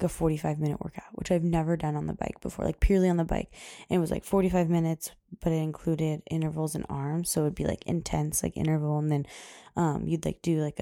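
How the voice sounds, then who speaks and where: A woman in her thirties chatting casually on her own podcast, rambling with lots of fillers, laughing often, with a loud voice. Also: deep voice, creaky, dry recording, studio quality. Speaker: podcast host. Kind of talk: casual solo podcast chat.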